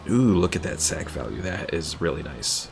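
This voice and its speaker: Low-voiced, chatty male game streamer. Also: big pitch swings, normal speed, creaky, a little hiss or hum. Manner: chatty, thinking aloud, reading out chat. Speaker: gaming streamer. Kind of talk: casual live gameplay commentary. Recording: game music behind the voice. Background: video game music.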